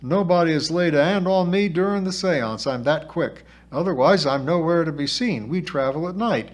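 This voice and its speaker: A man's voice: on the high side, animated, moderate pace, voice pushed up, lightly acted. A man voicing a scrawny, brash twelve-year-old fake spirit girl with a whiny, hollow voice that drops its aitches, in a storybook reading. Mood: boastful, defiant. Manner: brash, spitting retorts, dropped aitches.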